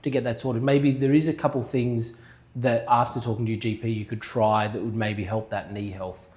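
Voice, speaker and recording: A man co-hosting a health podcast. He is restless and talks in casual chat, jumping in quickly with examples. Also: mid-range voice, fairly even intonation, darkish tone. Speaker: podcast co-host and manual therapy practitioner.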